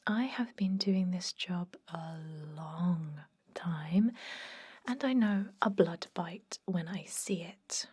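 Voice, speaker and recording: A woman playing a chatty but gently stern vampire dentist in a whispered ASMR roleplay, rambling in a confiding way with a breathy voice. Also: on the low side, takes it slowly. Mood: stern, scolding. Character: chatty long-lived female vampire dentist, garlic-obsessed, gently stern. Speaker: ASMR performer.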